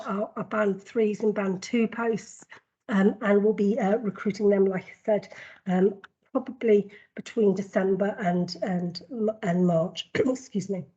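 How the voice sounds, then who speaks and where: A woman with a cold and a husky voice, presenting a formal report at a board meeting in a measured way, with frequent ums and repeated words. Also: on the high side, warm tone, highs cut off. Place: board meeting, video call.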